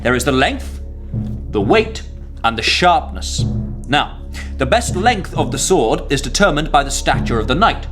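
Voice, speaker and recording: Lively, good-natured young knight. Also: male voice, mid-range voice, measured pace, music in the background. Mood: playfully instructive. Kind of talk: radio historical drama acting.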